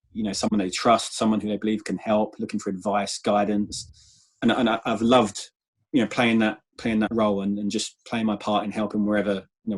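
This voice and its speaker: A man speaking as a guest in a conversational business interview, measured and often repeating words as he goes. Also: fairly low pitch, darkish tone. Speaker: interview guest, sales manager.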